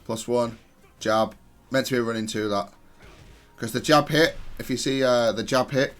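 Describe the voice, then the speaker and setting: A man's voice: medium pitch, normal speed, a bit bright, soft background music. A man reviewing his own play in analytical gameplay commentary, speaking in a matter-of-fact, self-critical way. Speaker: gamer reviewing own match.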